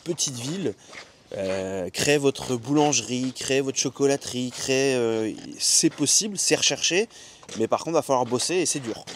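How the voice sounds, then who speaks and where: A man's voice: medium pitch, animated, moderate pace, slight background noise. A French man hosting a casual travel vlog, enthusiastic and encouraging as he speaks straight to his viewers. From France. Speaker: vlogger host.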